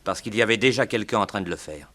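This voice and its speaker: A lowish, very quick male voice as a petty crook cornered in a sixties radio serial. He sounds defensive, explaining himself in a hurry. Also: fairly bright tone. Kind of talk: 1960s French radio crime drama acting.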